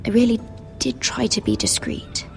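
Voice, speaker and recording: Uneasy young court girl. Earnest, hesitant, creaky mid voice, music behind, fantasy game. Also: on the high side, very animated, moderate pace, darkish tone, heavily acted. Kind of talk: dramatic video game voice acting.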